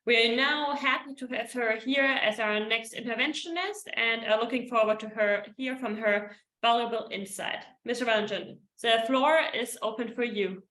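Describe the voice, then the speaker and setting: A woman's voice: fairly high pitch, moderate pace, hardly any pauses, sharp tone, echoey room, rough recording. A woman moderating an online workshop, formally introducing speakers and occasionally stumbling over names. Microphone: video call.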